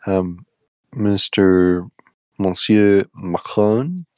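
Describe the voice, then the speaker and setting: A man's voice: low-pitched, very slow, darkish tone, no room sound. A young man co-hosting a podcast, chatting casually with dry, offhand, blunt opinions. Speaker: podcast co-host. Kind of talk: casual chat.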